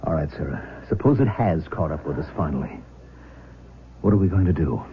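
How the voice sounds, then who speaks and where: A man's voice: very deep voice, expressive, brisk. An actor playing a protective, reasoning middle-aged father in a radio drama, earnest and firm. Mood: concerned. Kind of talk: 1970s radio drama acting. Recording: dull, noisy 1970s radio recording.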